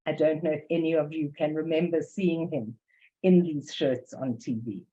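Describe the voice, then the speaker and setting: Older woman, South African English accent, measured academic lecture, low-pitched voice, dull lo-fi sound. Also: dark tone. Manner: measured, careful reading of prepared text. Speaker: academic presenter. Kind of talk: lecture presentation with poetry reading. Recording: dull lo-fi recording.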